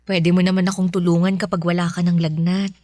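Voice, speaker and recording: A woman playing a caring, expressive mother in a Filipino radio drama. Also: fairly low pitch, brisk, no room sound, close to an everyday voice. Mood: playful, teasing. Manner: tender, expressive, motherly scolding. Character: caring, teasing, worried Filipino mother of a sick son.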